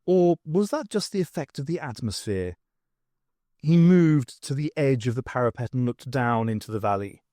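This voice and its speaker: A man's voice: mid-range voice, expressive, normal speed, warm tone, no echo. Dry, close-sounding male audiobook narrator. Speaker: audiobook reader. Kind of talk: scripted dramatic narration of a science fiction novel.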